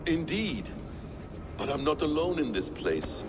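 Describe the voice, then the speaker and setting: An actor playing an enigmatic, courtly ancient man in a video game, speaking in a measured, theatrical and suave manner. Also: very animated. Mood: mysterious, grandiose. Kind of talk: dramatic video game cutscene acting. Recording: loud ambient rumble behind the voice.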